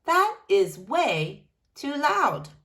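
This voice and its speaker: A fussy, solitary fellow from a children's storybook, acted out by a woman. He exclaims in a raised, very animated voice. He sounds huffy and protective of his privacy. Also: high-pitched, drawn-out words, voice pushed up, heavily acted. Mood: exasperated. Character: grumpy solitary male camper, fussy and exasperated. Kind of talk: children's storybook read-aloud.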